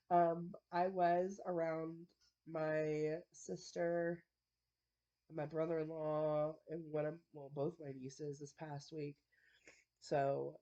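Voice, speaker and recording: A woman's voice: low-pitched, dark tone, lo-fi sound. A woman with a congested, stuffy nose chatting casually to the camera at home, rambling with lots of fillers and frequent little laughs. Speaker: vlogger. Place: at home indoors.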